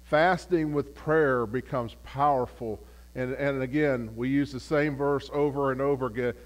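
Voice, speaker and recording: A man leading a Bible study as pastor, phlegmy and clearing his throat, halting with many repeated words and fillers. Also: medium pitch, steady tone. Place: church. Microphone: camera.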